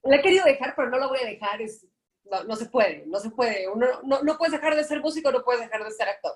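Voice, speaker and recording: Mexican actress, young adult woman, higher register, measured and reflective delivery. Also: lively intonation. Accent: Mexican Spanish. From Guadalajara, Mexico. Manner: thoughtful, hesitant starts, measured. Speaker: actress guest. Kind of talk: casual podcast interview.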